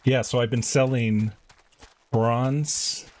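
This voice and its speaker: A man live-streaming a video game, chatting casually and reading quest text aloud in an informal, halting way. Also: mid-range voice, fairly even intonation, darkish tone.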